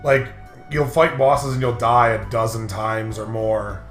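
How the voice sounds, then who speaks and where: A man chats casually over a video game, rambling and swearing as he explains things at length. His mid-pitched voice sits in a roomy echo, with faint game music behind it. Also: level tone, unhurried, a bit bright. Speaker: co-host of a gaming playthrough show.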